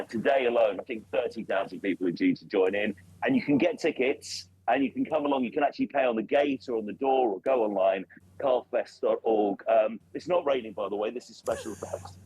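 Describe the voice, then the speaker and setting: A man's voice: on the low side, a little mellow, poor audio. A male radio presenter reporting live and enthusiastically from an outdoor race circuit, promoting a festival. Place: outdoors at a motor racing circuit. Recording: remote line, cars audible behind.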